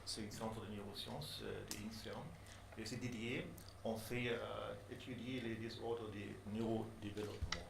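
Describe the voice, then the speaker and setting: A man presenting his research in French, which is not his native language, speaking haltingly with many pauses and restarts. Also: fairly low pitch, level tone, vocal fry. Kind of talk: hesitant formal presentation in a second language. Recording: echoey room, faint background noise.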